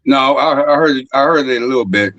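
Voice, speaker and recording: A man hosting a casual online chat, speaking in a rambling, halting way with many fillers. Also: mid-range voice, fairly bright tone, no echo, dull audio. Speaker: host. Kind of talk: casual chat on a live stream.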